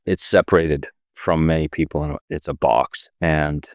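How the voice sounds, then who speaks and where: A very low-voiced man from Canada in his forties, a guest on a podcast. He talks in animated, quick bursts and often restarts his sentences. The sound is dry and close to the mic. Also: no room sound. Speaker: actor and filmmaker guest. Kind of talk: casual interview chat.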